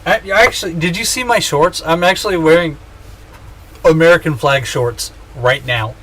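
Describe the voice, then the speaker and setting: A man's voice: on the high side, moderate pace, a bit bright, slight background noise. A man in his thirties chatting casually on a gaming livestream, joking and rambling with self-mocking humor. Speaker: streamer.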